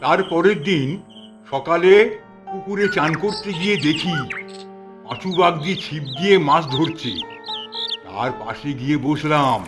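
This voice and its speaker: A man playing a calm, reassuring village priest who explains and tells stories in a cartoon. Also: fairly high pitch, a little slow, long pauses, over music, natural voice. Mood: calm storytelling. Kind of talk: cartoon storytelling.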